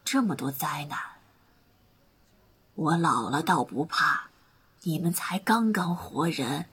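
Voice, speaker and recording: An actress playing a weary, tender elderly mother in an audio drama, with a breathy, husky voice. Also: deep voice, expressive, takes it slowly, fairly bright tone, heavily acted. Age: older. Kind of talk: Mandarin audio drama acting.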